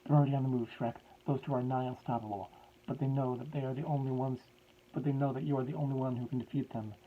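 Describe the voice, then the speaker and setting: A man's voice: mid-range voice, fairly even intonation, fast talker, narrow, tinny audio, a little hiss or hum, pitched above the performer's own voice, close to an everyday voice. A man voicing a grieving middle-aged male scientist who pleads in a raised, strained voice.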